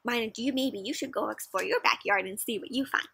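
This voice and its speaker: A young woman doing an expressive, interactive picture-book read-aloud for children, putting questions to the listener. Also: a little high, fairly quick, creaky, slightly rough, no echo. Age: young adult. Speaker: children's story reader. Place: outdoors in a backyard with play structure.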